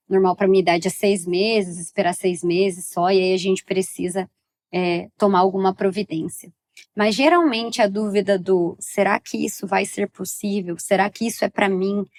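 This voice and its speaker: A woman, a psychologist guest on a podcast, explaining calmly and giving frequent affirmations. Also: mid-range voice. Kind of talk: interview answers.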